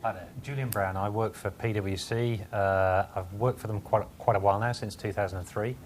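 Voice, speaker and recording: An English man introducing himself as a panelist, measured and matter-of-fact, with filler pauses. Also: lowish voice, fairly even intonation, a little hiss or hum. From England. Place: conference hall.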